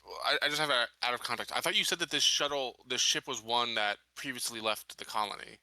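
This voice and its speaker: A man's voice: mid-range voice, fast talker, bright, forceful tone, no echo, rough recording. A man playing a tabletop game, talking through his choices in an informal, hesitant way. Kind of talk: casual game discussion.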